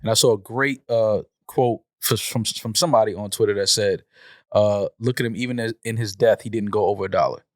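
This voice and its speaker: A man's voice: on the low side, fairly even intonation, a little fast, no room sound, studio quality. An American man around forty, hosting a casual podcast discussion. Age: 30s or 40s. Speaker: podcast host.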